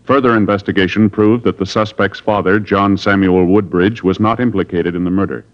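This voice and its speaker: A man announcing court results in a measured, formal way on a 1950s radio show. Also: low-pitched, steady tone. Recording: dull old radio broadcast.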